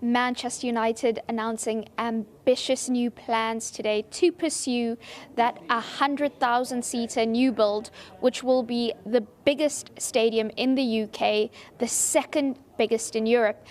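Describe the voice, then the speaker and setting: High-pitched woman reporting sports news. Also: steady tone, unhurried, a little mellow. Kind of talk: broadcast news reporting and interviewing.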